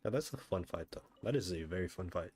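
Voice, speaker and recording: Low-voiced man casually streaming a game. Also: expressive, quick pace, a little mellow, husky. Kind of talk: casual gameplay commentary.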